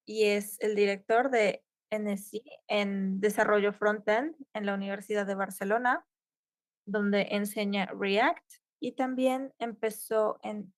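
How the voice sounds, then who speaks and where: A woman's voice: mid-range voice, no room sound. A woman interpreting a conference tech talk live, keeping pace with occasional cut-off restarts. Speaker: simultaneous interpreter.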